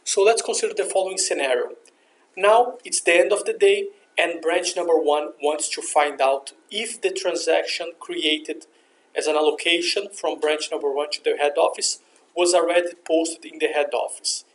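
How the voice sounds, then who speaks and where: A man with a somewhat high voice narrates a software tutorial. He explains each action step by step, in the manner of an instructor walking users through a procedure. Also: moderate pace, rough recording.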